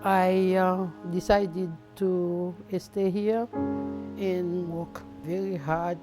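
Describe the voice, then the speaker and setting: Slow-speaking Haitian woman. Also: lowish voice, flat delivery. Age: middle-aged. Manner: earnest, non-native English phrasing. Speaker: documentary interviewee, mother. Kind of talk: personal testimony in a documentary interview. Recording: music under the voice. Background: instrumental music.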